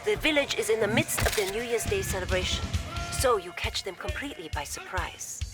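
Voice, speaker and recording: A woman's voice: medium pitch, expressive, fairly quick, close to an everyday voice. A woman playing a formal female logistics officer, giving mission briefings in a game. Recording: music playing behind.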